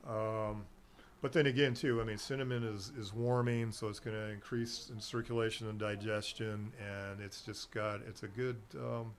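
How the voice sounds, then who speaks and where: A man's voice: fairly low pitch, flat delivery, moderate pace, a little hiss or hum. A middle-aged man chatting casually as a guest on a local talk show, rambling and meandering, laughing easily. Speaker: guest, herbal nutrition seller.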